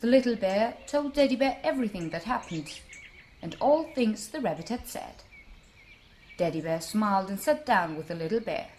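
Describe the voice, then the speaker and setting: A woman storyteller narrating a scripted bedtime tale for children in an expressive manner. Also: mid-range voice, normal speed, slight background noise.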